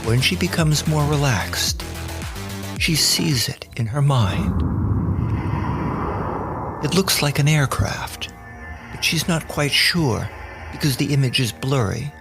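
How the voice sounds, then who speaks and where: Slow, gravelly male storyteller. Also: medium pitch, lively intonation. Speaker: narrator. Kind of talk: scripted audio drama narration. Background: music bed.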